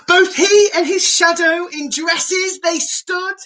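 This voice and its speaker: A woman reading a picture book aloud to children as a storyteller, in an animated, encouraging and theatrical manner. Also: very high voice, drawn-out words.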